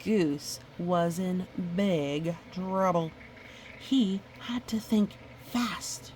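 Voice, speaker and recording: A woman reading a children's picture book aloud, expressive and exclaiming, with chatty asides to young listeners. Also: fairly low pitch, extremely slow, slight background noise.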